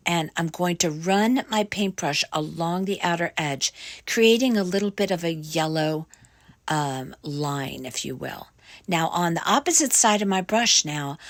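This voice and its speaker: A woman hosting a craft tutorial, her voice raspy and croaky from a cold, explaining each step slowly with fillers and self-corrections. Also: on the low side, dry recording, clean studio sound. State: hoarse from a cold.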